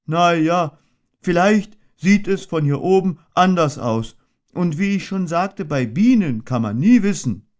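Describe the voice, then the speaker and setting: A man putting on a high voice for a slow, earnest, muddled little teddy bear in a storybook reading. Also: animated. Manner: slow, earnest, ponderous, sing-song.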